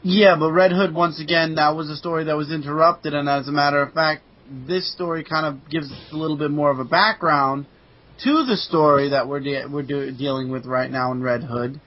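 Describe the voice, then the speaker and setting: Male podcast co-host, lighter-pitched voice, informal recap chat, dull recording. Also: steady tone, brisk. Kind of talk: casual chat, comic book recap.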